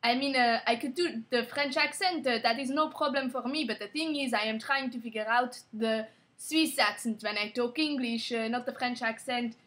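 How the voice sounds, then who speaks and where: A woman's voice: on the high side, normal speed, hardly any pauses, fairly bright tone, close to an everyday voice. A young woman putting on an exaggerated mock French accent, speaking stilted English.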